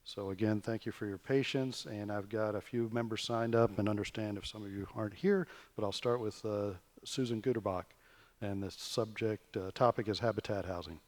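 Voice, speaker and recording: A man's voice: fairly low pitch, moderate pace. A man chairing a city council meeting in a plain, procedural way. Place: council chamber.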